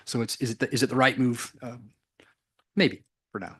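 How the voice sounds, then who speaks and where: A man speaking as a panelist at an industry forum, emphatic and quick, repeating words as he starts. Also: mid-range voice, fairly bright tone, breathy edge. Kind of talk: conversational panel discussion.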